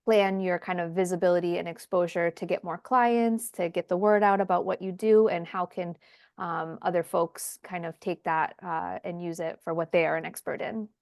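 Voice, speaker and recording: A woman's voice: on the low side, fairly even intonation, normal speed, darkish tone, no room sound, clean studio sound. A woman hosting an online question-and-answer webinar, talking in a friendly way with many ums, heard over a video call. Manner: friendly, filler-heavy with frequent ums. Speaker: webinar host, head of community.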